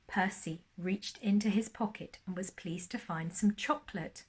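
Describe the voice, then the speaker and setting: Female storyteller, mid-pitched, expressive primary teacher reading a bedtime tale to young children. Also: normal speed, slightly rough.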